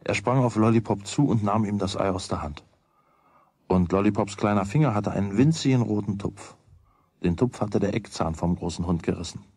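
A man's voice: on the low side, normal speed, a little mellow, husky, no room sound. A man narrating a children's radio play as its storyteller, in a scripted storytelling style. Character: storyteller of a boy's everyday adventures.

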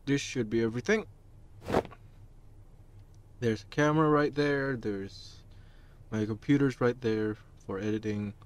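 A man voicing a shy, awkward high school boy in an audio roleplay, hesitant, self-conscious and stammering. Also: a little slow, long pauses, slight background noise, close to an everyday voice. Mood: flustered, polite. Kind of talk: amateur audio roleplay acting.

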